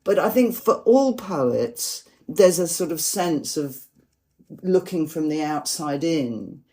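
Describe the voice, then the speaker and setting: A woman poet speaking in an earnest, reflective way about language, slowing down to stress her ideas. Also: deep voice, expressive, mellow. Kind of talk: reflective conversation about poetry.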